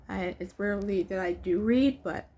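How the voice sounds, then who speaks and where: A woman's voice: medium pitch, moderate pace, warm tone. A woman reading a children's storybook aloud as an amateur reader.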